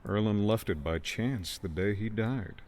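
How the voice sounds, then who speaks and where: A man's voice: fairly low pitch, a little slow, a little mellow, natural voice. A man voicing a menacing, mocking male villain in an audiobook, with a haunting, piercing, accusatory delivery. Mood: cold, smug. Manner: taunting, accusatory. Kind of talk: audiobook character dialogue.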